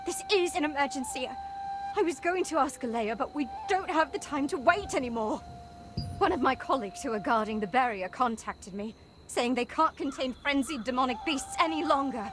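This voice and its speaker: A woman's voice: sits high, expressive, moderate pace. A woman voicing an earnest, polite young female investigator in a video game. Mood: urgent, pleading. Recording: music behind the voice. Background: game music.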